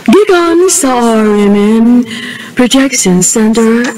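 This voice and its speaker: A woman's voice: a little high, animated, takes it slowly, noisy background. A woman with a Filipino accent reading a scripted radio drama introduction as announcer.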